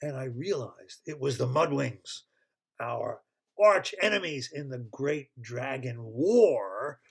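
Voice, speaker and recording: A man's voice: medium pitch, animated, slow pace, vocal fry, breathy edge, exaggerated voice. A man voicing a distraught female ice dragon queen who pleads through her sobs. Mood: frantic. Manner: sobbing, pleading.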